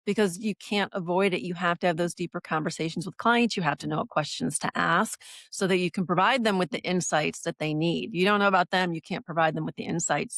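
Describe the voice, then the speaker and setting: Gravelly-voiced woman podcast co-host. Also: lowish voice, a bit bright, no room sound. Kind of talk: podcast interview.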